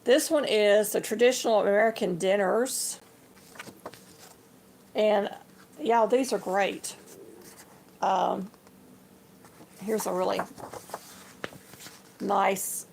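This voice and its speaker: An older woman hosting a casual livestream, slightly hoarse and chatty, talking to her viewers as y'all. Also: medium pitch, darkish tone, a little hiss or hum. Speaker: livestream host. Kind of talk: casual livestream show-and-tell.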